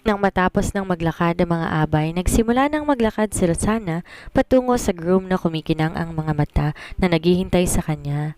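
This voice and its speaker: A woman's voice: on the low side, animated, a little fast, a little mellow, smooth, dry recording. A woman narrating a scripted romance story as a storyteller.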